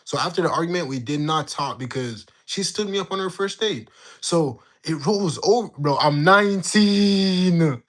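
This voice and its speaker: A young man in his late teens, hosting a livestream and telling a story in loose, slangy talk. His voice sits a little high for a man. He keeps turning to answer his chat in a lively back-and-forth. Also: moderate pace. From Jamaica. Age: young adult. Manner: animated, slangy, bantering with chat. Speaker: livestream host. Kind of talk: casual storytelling to live chat.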